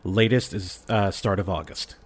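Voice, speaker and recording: Low-voiced man chatting as podcast guest. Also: moderate pace. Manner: animated storytelling, acts out reactions. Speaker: podcast guest, audio drama creator and film reviewer. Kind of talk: casual chat.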